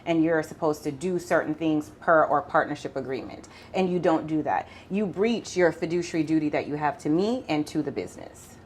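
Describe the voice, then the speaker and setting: A woman with a Jamaican accent, an attorney explaining law in a casual interview, talking quickly and laughing often. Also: sits low, a little mellow, slight background noise. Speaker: family law attorney, podcast guest.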